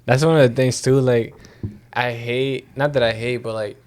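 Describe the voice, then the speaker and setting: A man's voice: medium pitch, steady tone, normal speed, a little mellow, clear, resonant tone. Easygoing young man from Venezuela. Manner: easygoing, agreeable, slangy. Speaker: podcast co-host. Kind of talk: casual podcast chat.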